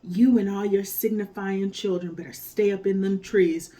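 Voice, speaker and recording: A woman voicing a proud, hot-tempered male lion with a gruff, blustering manner. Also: mid-range voice, steady tone, normal speed, warm tone, natural voice, low-quality recording.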